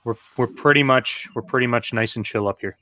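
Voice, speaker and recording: Male co-host from Canada, casual banter, forward bright tone, dry sound. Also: medium pitch, fairly quick, no echo. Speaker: show co-host.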